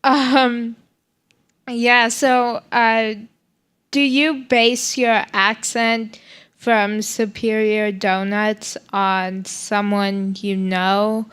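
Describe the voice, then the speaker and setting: A woman hosting an interview, asking questions slowly with hesitant fillers. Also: fairly high pitch, little pitch movement, a bit bright, smooth. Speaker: interview host.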